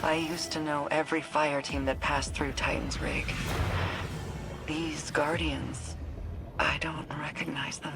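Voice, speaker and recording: An actress playing a tough, wry deputy commander in a video game, brisk and dry under fire, over game music. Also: female voice, sits low, level tone, creaky, heavily acted.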